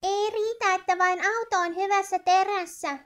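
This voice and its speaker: A woman voicing an earnest, excitable young race reporter in a cartoon fandub. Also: very high voice.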